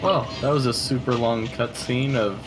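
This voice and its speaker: Male gaming host, medium pitch, slow-paced, casual joking commentary, loud game music behind. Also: steady tone, rough recording.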